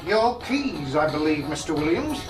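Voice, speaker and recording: A man's voice: a little high, big pitch swings, moderate pace, over loud music. An actor playing a stern, older churchman with a pointed, formal, admonishing manner in a radio drama. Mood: disapproving. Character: stern, reproachful old male churchman. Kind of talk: radio horror drama acting. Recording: noisy radio drama soundtrack.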